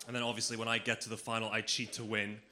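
A man with a mixed international accent doing animated, conversational stand-up comedy on stage. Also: fairly low pitch, very fast, slight background noise. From Austria, America and Slovenia. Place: live comedy club stage.

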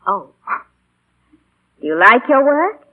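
Self-assured young artist, tinny radio. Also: female voice, on the high side, very animated, breathy edge, exaggerated voice. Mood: brisk, amused. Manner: brisk, teasing. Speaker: radio actress. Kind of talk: 1940s radio acting. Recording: tinny, band-limited 1940s radio broadcast.

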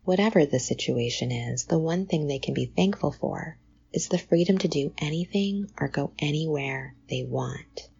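A middle-aged woman hosting a podcast, reading a scripted introduction in an earnest, measured way. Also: low voice, gravelly, darkish tone, slightly rough, muffled recording. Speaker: podcast host.

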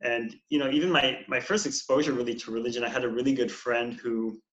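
A man in his forties, a counselor, telling his life story as a guest in a relaxed, meandering way with many fillers. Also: medium pitch, quick pace. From United States. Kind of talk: casual interview. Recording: dull, echoey room sound.

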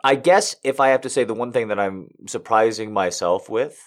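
A man's voice: lowish voice, normal speed. A man, a voice actor, answering viewer questions in a rambling, self-deprecating way. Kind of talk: casual chat.

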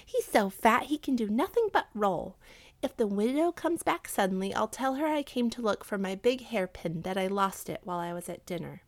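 A woman voicing a crafty, eager village wife in a storybook, with a brisk, scheming, emphatic delivery. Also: mid-range voice, animated, no echo, close to an everyday voice. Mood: dismissive.

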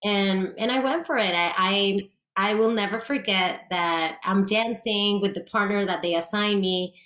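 A woman's voice: mid-range voice, a little slow, a bit bright. Chatty Puerto Rican woman, roomy echo. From Puerto Rico. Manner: chatty, with frequent "like" fillers and restarts. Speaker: guest performer. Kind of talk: casual interview storytelling.

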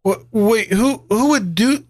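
A man's voice: sits high, takes it slowly, dry recording, clean studio sound, pitched above the performer's own voice. A man playing a wary, impatient late-teen younger brother in a gothic tabletop game, stammering and repeating himself. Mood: bewildered. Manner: stammering, repeating words in agitation.

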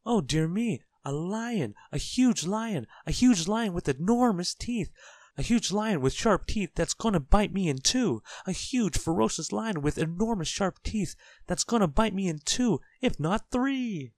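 Storybook role: tiny nervous fellow, high shrieky pitch, swooping wildly, stammers, forever melodramatically terrified. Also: male voice, animated, a little slow, a little mellow, no room sound. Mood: terrified shrieking. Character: tiny timid male panicker, hysterically fearful, easily terrified.

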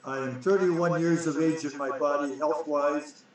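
A man's voice: fairly high pitch, fairly even intonation, measured pace, very echoey, recorded from a distance, slight background noise, poor audio. A man in his nineties, a guest being interviewed, talking insistently and repeating himself, often stumbling over his words. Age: 90s. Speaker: guest, sprout grower inventor. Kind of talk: casual interview.

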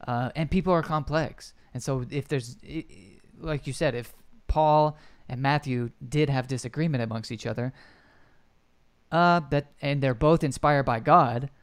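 A man's voice: mid-range voice, normal speed, smooth. A man hosting a podcast, speaking carefully and hesitantly, searching for words. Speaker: podcast host. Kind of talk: casual chat.

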